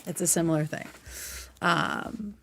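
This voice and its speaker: A woman's voice: fairly low pitch, fairly even intonation, unhurried, fairly bright tone. A woman hosting a livestream, giving informal, opinionated commentary and explaining her points at length. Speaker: livestream host. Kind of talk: casual commentary reacting to clips.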